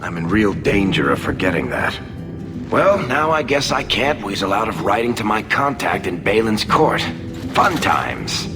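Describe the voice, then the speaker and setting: An actor playing a wry, roguish dwarf in a video game, with a dry, sardonic manner. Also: male voice, lowish voice, sing-song, measured pace. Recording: reverberant game audio with music.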